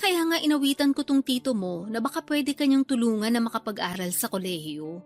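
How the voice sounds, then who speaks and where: Pushy, high-voiced mother. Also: lively intonation, fairly quick, darkish tone, soft background music. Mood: resigned, nagging. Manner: colloquial, nagging, coaxing. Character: pushy, talkative poor provincial mother, middle-aged. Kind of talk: Filipino radio drama acting.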